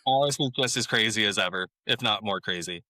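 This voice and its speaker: Man, author guest, very bright tone, laid-back chuckling, dry sound. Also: lowish voice, brisk, dry recording. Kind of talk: casual interview chat.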